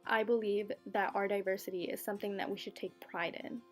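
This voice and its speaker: A woman's voice: mid-range voice, fairly even intonation, a little fast, faint music behind. A young woman from Colombia, a student, reading a scripted personal narration in an earnest, reflective way. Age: young adult.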